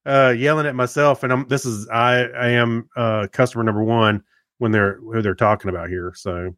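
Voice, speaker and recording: A man's voice: mid-range voice, fairly even intonation, normal speed, a bit bright, no echo, studio quality. Rambling middle-aged man co-hosting. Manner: rambling, many restarts and fillers. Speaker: podcast co-host. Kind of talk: casual chat.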